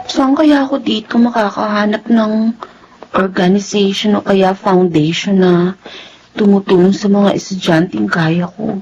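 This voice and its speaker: A Filipino woman playing a hopeful, trusting and stubborn young student in an expressive radio drama style. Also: medium pitch, highs cut off. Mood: worried, musing.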